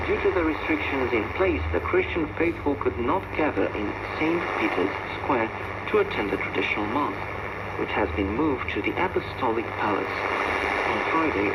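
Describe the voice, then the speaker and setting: Male radio newsreader, scripted bulletin delivery, buried in heavy shortwave noise with muffled sound. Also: mid-range voice. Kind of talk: scripted international news bulletin. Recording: very noisy, dull shortwave radio reception. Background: loud radio static and noise.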